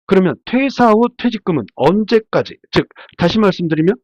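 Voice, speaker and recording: Stuffed-up guy with a cold teaching casually off slides, very lively intonation, dry close sound. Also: high-pitched, brisk, no echo. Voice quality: nasal, stuffy. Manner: conversational, explanatory, asks and answers own questions. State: congested from a head cold. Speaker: labor attorney lecturer. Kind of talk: informal slide lecture. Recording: dull, muffled lo-fi sound.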